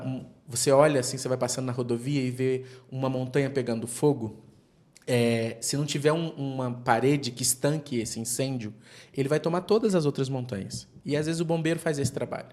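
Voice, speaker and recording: An earnest man talks through his thoughts at length as a podcast guest. His voice sits at an ordinary pitch, and he builds slow, heartfelt points around vivid images. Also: darkish tone. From Brazilian Northeast. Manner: earnest, speaks in extended metaphors. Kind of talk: reflective interview talk.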